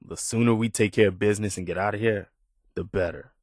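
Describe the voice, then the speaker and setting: Actor as an earnest, lower-voiced militia soldier, dry recording. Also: male voice, fairly even intonation, fast, a little mellow, dry recording, close to an everyday voice. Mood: wary, resolute. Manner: sincere, plainspoken, conversational. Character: earnest, principled militia soldier man, loyal and weary. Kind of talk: video game companion dialogue.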